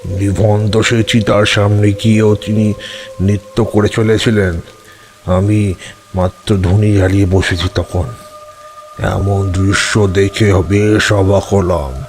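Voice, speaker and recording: Slow, low-voiced old tantric storyteller acted by a man. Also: level tone, darkish tone, soft background music. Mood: measured, reminiscent. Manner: deliberate, measured storytelling. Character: old male tantric recounting eerie memories of youth. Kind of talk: dramatised audio horror storytelling.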